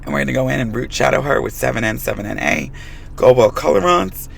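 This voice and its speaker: A man who is losing his voice, hoarse, giving frank advice to fellow stylists in a hair colouring tutorial. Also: medium pitch, expressive, quick pace, slight background noise. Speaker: hair colorist.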